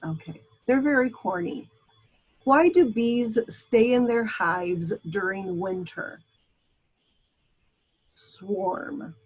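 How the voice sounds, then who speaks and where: A woman's voice: medium pitch, extremely slow, long pauses, a little mellow, husky, low-quality recording. A woman from Brazil teaching a class in a casual, chatty lecture style, with frequent ums and asides. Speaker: instructor.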